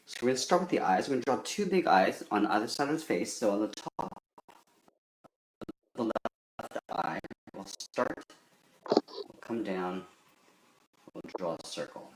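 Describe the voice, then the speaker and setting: A man's voice: fairly low pitch, lively intonation, unhurried, pauses often. A man giving a step-by-step drawing tutorial in simple, methodical instructions. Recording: roomy echo with faint background noise.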